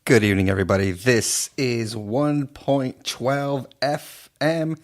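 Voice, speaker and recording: A man from East Los Angeles hosting a radio show in a laid-back, halting way. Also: mid-range voice, steady tone. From East Los Angeles, United States. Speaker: radio DJ and host.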